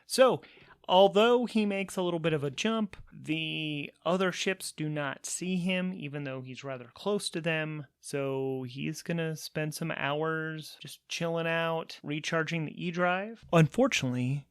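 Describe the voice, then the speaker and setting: A man's voice: a little high, measured pace, clear, resonant tone, no room sound, studio quality. A man narrating a solo tabletop role-playing game as host and player, thinking aloud between casual rules talk and storytelling.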